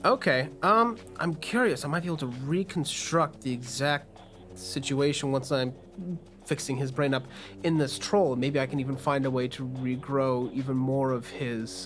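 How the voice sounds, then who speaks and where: A man's voice: medium pitch, normal speed. A man improvising conversationally as game master, narrating scenes and voicing characters in a tabletop game. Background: faint music.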